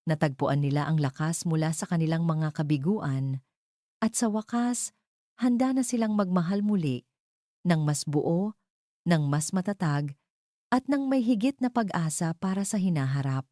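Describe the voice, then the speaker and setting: A woman's voice: sits low, mellow, no room sound, studio quality. A woman storyteller reading a scripted romance narration in an earnest, sentimental and formal manner. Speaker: storyteller and channel host.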